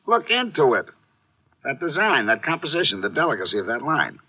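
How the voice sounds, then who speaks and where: An actor playing a self-satisfied rich art collector in a 1950s radio drama, effusive and grandiose in manner. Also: male voice, medium pitch, very animated, a little fast. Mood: rapturous. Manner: effusive, grandiose, repeats words for emphasis. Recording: dull old radio recording.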